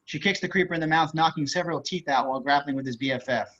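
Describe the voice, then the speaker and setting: A man's voice: fairly high pitch, very fast, a bit bright, slight background noise. A man narrating stage directions for a screenplay table read over a video call. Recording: slightly dull video-call audio.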